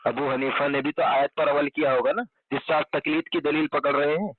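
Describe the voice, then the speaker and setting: A man argues religion over a telephone line, with a somewhat high voice and a narrow, muffled sound. He is insistent, returning to his pointed questions again and again in a debating style. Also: steady tone, brisk, no room sound. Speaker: debater in a recorded religious phone discussion. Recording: muffled, band-limited telephone call recording.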